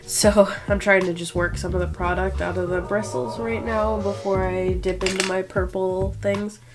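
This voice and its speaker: A woman's voice: medium pitch, level tone, normal speed. A woman in her twenties with a stuffed-up cold voice, chatting casually and self-deprecatingly while doing her makeup. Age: 20s. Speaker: beauty vlogger. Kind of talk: casual makeup tutorial talk. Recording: music bed under the voice.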